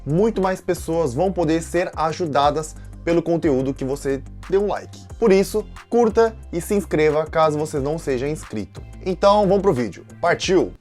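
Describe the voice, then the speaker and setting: A man's voice: on the high side, lively intonation, normal speed, few pauses, a little mellow, faint music behind. A man with a Brazilian Portuguese accent, a language teacher giving a casual lesson and talking straight to his viewers in a chatty, direct way. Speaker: Korean teacher and channel owner.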